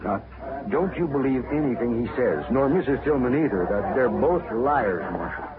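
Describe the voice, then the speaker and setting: An actor playing a stubborn, hotheaded frontier rancher who talks bluntly in rough backcountry grammar, in a 1950s radio drama. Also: male voice, medium pitch, expressive, moderate pace, crowd noise, close to an everyday voice. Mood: surly. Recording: tinny old radio broadcast in a noisy saloon.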